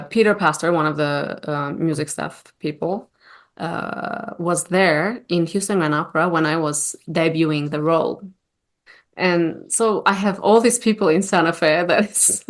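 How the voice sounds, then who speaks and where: Low-voiced woman. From Armenia. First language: Armenian. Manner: warm, searching for words with many fillers. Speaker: guest opera singer. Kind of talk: casual interview chat.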